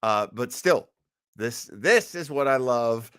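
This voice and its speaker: A man's voice: medium pitch, animated, slow, fairly bright tone, clear, resonant tone, no room sound, clean studio sound. An American man hosting a casual radio fan show, speaking in a theatrical, effusive and self-mocking manner. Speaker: host. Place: indoors at home.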